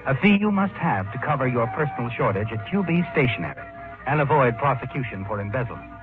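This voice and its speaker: An actor playing a knowing, ominous narrator of a suspense tale, deliberate and dramatic in 1940s radio style. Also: male voice, medium pitch, very animated, close to an everyday voice. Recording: tinny old radio recording, music behind.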